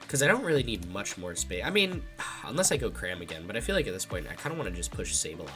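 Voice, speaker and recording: A man's voice: lowish voice, big pitch swings, quick pace, music in the background. A young man with a congested, slightly nasal voice, casually thinking aloud while commentating his own card game match. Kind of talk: live gameplay commentary.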